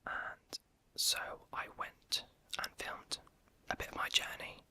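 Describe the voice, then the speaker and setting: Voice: slow pace, sharp tone. A young adult YouTube creator whispering through an ASMR question-and-answer video, rambling and hesitant with many fillers.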